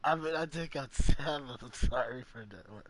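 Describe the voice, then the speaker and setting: A man giving casual, informal gameplay commentary as a streamer, reacting in a halting way. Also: medium pitch, a bit bright, slight background noise. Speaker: gaming streamer.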